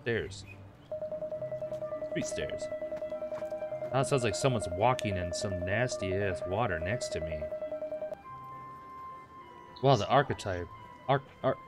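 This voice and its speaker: A man hosting a gaming video, reading a visual novel aloud with crude, jokey asides. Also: fairly low pitch. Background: game music.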